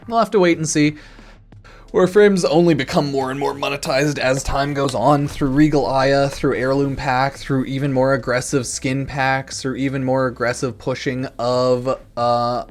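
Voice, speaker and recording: A man giving casual, rambling commentary as a gaming YouTuber, informal and noncommittal, with some mild swearing. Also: medium pitch. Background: music in the background.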